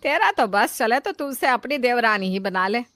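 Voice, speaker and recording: Woman acting chiding mother-in-law, higher-pitched. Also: lively intonation, normal speed, fairly bright tone, no echo. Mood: scolding. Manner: chiding, lecturing. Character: scolding, worldly-wise older mother-in-law. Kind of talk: acted Hindi moral-story cartoon dialogue.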